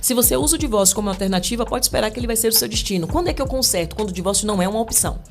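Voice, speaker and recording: A woman's voice: on the high side, lively intonation, rapid-fire, soft background music. A woman in her thirties from Brazil, a guest on a podcast, talking earnestly about marriage and faith, with restarts and fillers. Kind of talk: podcast interview.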